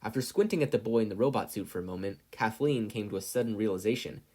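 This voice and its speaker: A story narrator reading scripted fiction in an expressive storytelling manner. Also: mid-range voice, quick pace. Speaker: podfic reader and narrator.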